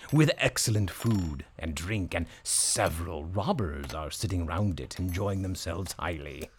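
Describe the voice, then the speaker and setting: A man voicing a big, practical, friendly donkey in a storybook tale, with drawn-out, deliberate speech. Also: deep voice, expressive, slight background noise, pitched below the performer's own voice. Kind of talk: storybook character voice.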